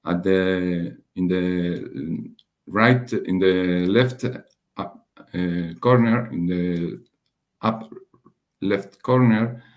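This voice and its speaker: Slow, halting low-voiced male presenter. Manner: halting, hesitant, frequent long hesitations and rephrasing. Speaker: webinar presenter, radiation dosimetry researcher. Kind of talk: technical slide presentation.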